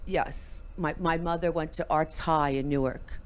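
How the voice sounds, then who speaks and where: A woman with a notably low voice tells family stories as an interview guest. She is animated and acts out her parents' lines, jumping up in pitch for the quotes. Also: a little slow, a little hiss or hum. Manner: animated storyteller acting out family dialogue. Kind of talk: storytelling in an interview.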